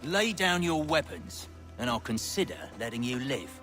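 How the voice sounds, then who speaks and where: An actor playing a rough, blunt hired bandit leader in a video game. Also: male voice, mid-range voice, animated, moderate pace, loud music behind the voice, lightly acted. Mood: defiant. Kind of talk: video game cutscene acting.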